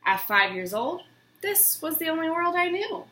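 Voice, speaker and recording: A woman giving an expressive, theatrical dramatic reading of a children's book. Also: extremely high pitch, moderate pace. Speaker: storybook reader. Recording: roomy, echoing room sound.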